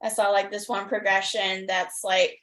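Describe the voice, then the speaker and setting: A woman's voice: medium pitch, flat delivery, normal speed, fairly bright tone. A woman chatting casually as a podcast interviewer, gushing and hesitant, with lots of likes. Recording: roomy, echoey sound.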